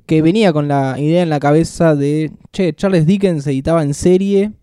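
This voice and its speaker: Animated Argentine man co-hosting a podcast. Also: on the high side, normal speed, clear, resonant tone, no echo, clean studio sound. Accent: Rioplatense Spanish. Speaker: podcast co-host. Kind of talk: casual podcast chat.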